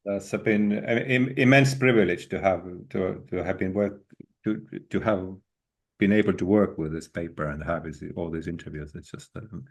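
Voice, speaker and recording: Man interviewing, halting and stumbling. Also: sits low. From Estonia. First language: Estonian. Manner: halting, stumbling restarts, laughs easily. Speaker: interviewer, newspaper editor. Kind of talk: casual conversational interview.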